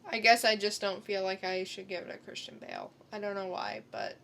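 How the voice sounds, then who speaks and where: A woman co-hosting a podcast in casual chat, thinking out loud in a halting way. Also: mid-range voice, big pitch swings, vocal fry. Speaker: podcast co-host. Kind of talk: casual comic book chat.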